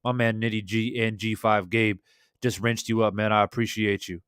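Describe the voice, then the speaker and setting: A hoarse man in his thirties talks into a desk mic in a small home room, laid-back and chatty as he greets his viewers. His voice stays smooth and resonant under the strain, with a dry, close sound and no room echo. He swears casually as he talks. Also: lowish voice, fairly even intonation, normal speed, a bit bright. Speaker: boxing livestream host. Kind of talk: casual livestream chat. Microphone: studio desk mic with headphones.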